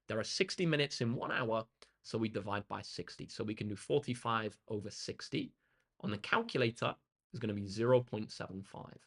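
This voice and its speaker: Slightly hoarse young male maths tutor. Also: fairly low pitch, lively intonation, fairly quick, a bit bright. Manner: upbeat, chatty, reads out chat comments. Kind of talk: live-streamed exam walkthrough.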